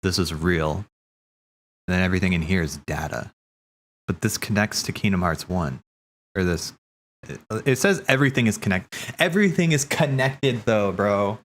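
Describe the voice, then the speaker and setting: A man streaming casual, rambling theory commentary, thinking aloud haltingly, correcting himself and swearing casually. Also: low voice, animated, a little hiss or hum.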